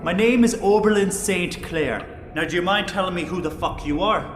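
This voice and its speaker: A man playing a hot-tempered, defiant captive boat engineer in a sci-fi audio drama, agitated and quick to swear. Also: high voice, natural voice. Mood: desperate. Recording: echoey reverberant room with faint noise.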